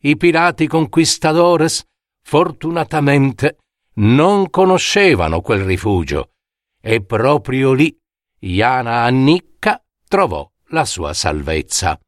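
A man narrating a scripted fairy tale in a measured, dramatic way with deliberate pauses. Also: mid-range voice, sing-song, no room sound, studio quality. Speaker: storyteller.

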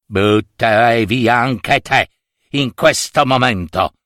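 A man's voice: medium pitch, slow pace, a bit bright, no room sound, studio quality. A man voicing a beleaguered, irascible king in a fairy tale, exclaiming and repeating himself dramatically. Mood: angry.

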